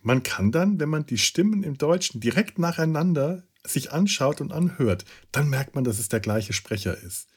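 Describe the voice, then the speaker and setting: Husky-voiced man hosting a casual chat. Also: fairly high pitch, animated, normal speed, hardly any pauses. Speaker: podcast host.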